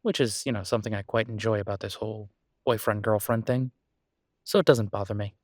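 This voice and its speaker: Man playing easygoing boyfriend, lowish voice, dry close sound, casual, gently reassuring, intimate roleplay audio. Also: steady tone, brisk, no room sound, close to an everyday voice. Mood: tender, reassuring. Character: caring, easygoing human boyfriend of a werewolf, reassuring. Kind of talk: roleplay audio drama, one-sided intimate conversation.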